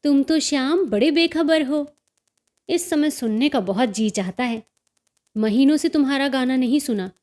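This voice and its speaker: A woman's voice: high voice, moderate pace, darkish tone, close to an everyday voice. A woman voicing a cheerful, teasing young sister-in-law in a story, with melodious, coaxing banter. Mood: playful coaxing.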